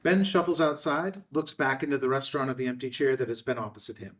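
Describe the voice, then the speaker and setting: A man reading screenplay stage directions as narrator in terse, measured, declarative phrases at a table read. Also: medium pitch, fairly even intonation, recorded from a distance.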